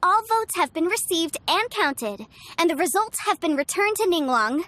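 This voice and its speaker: A woman's voice: very high-pitched, sing-song, moderate pace, fairly bright tone, no room sound, full character voice. A woman voicing a composed contest officiator in a game, announcing in a formal, ceremonial way. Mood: formal, gracious.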